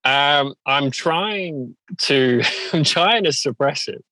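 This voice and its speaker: A man with a bright, forward voice chats casually as an interview guest. The sound is close and dry, and he tells things in an animated way, slipping into quoted asides. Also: fairly high pitch, slow pace, no echo. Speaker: interview guest, singer-songwriter. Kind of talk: casual chat.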